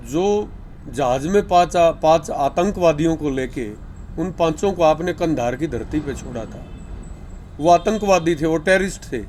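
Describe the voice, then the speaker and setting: A man with a Haryanvi accent, a farmers' activist, appealing to the government through the media in deliberate, emphatic phrases. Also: fairly high pitch, a little mellow, slight background noise.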